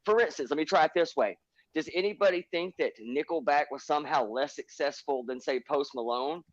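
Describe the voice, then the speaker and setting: A man's voice: fairly high pitch, a little fast, dry recording. A man on a livestream panel, delivering emphatic, profane, lecturing rants in a heated chat. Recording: dull lo-fi stream audio.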